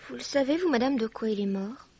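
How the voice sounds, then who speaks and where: An actress playing a polite, inquisitive young lady in a radio mystery, courteous and hurried. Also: on the high side, lively intonation, mellow, slightly rough. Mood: probing. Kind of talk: French radio mystery drama acting. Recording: dull vintage-style radio recording.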